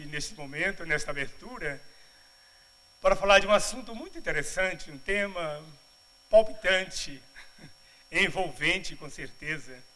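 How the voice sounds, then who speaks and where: A middle-aged man from Bahia, Brazil, giving a spiritual lecture at a congress, mixing humorous asides with measured, didactic explanation. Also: sits high, sharp tone, poor audio. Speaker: congress speaker. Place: congress auditorium.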